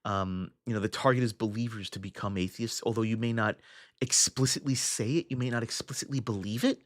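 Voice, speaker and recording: A man's voice: fairly low pitch, steady tone, brisk, no echo, studio quality. A young adult man hosting a casual livestream, thinking aloud with frequent restarts and fillers as he answers his chat. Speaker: livestream host. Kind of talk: casual livestream chat reading viewer comments.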